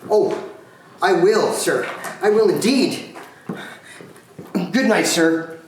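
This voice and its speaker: A man's voice: high voice, very slow. A man playing a meek, deferential clerk in an amateur stage reading, recorded in a very echoey, noisy room. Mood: meekly eager.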